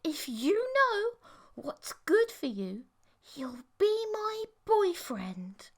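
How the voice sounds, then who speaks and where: Woman playing a high-pitched, possessive alien girlfriend. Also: very animated, very slow, exaggerated voice. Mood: cold threat. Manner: gushing, domineering. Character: clingy, menacing insectoid alien girlfriend, gleefully possessive.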